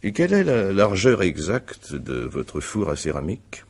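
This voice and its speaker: A methodical, courteous but insistent magistrate in a radio mystery. His voice is extremely deep and husky, with lively swings of intonation. The phrasing is formal and deliberate. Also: heavily acted. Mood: methodical, probing. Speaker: examining magistrate. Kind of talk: French radio mystery drama acting.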